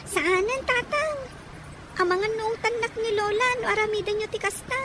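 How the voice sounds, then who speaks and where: Very high-pitched plaintive little schoolgirl. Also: moderate pace, noisy background. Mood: affectionate, plaintive. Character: plaintive, timid neglected schoolgirl granddaughter. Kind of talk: Ilocano radio drama acting.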